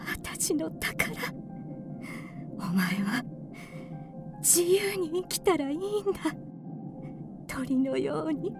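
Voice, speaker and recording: A slow, frail, dying mother. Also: very high-pitched, expressive, heavily acted. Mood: weak, tender. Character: frail, dying mother, weary and tender woman. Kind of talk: radio drama acting. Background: music.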